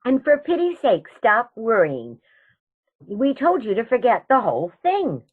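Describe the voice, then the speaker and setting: A woman's voice: fairly high pitch, wildly swooping pitch, measured pace, breathy edge, exaggerated voice. Woman acting prim, lilting elderly aunt, muffled recording. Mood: firm, unbothered. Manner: prim, gently chiding, unruffled. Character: genteel elderly aunt, serenely matter-of-fact and scolding. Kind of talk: stage comedy radio theatre acting.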